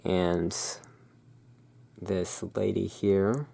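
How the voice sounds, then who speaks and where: An ASMR host in a gothic shopkeeper roleplay, musing in an unhurried, gently whimsical way while commenting on cards. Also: low-pitched, steady tone, gravelly. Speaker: host playing a gothic curiosity shop owner.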